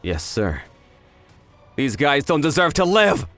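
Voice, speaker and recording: A man's voice: a little high, very animated, takes it slowly, a bit bright, soft background music, heavily acted. Man playing a swingy, menacing henchman. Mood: obedient, stern. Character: obedient, eager male henchman of a torturer. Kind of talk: manga dub acting.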